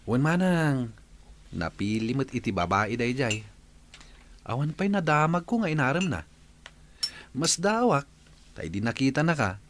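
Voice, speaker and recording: A man's voice: mid-range voice, very animated, a little slow, long pauses, a bit bright, slight background noise. A man acting an eager, hospitable village husband in a radio comedy drama, with an Ilocano accent. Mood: teasing, earnest.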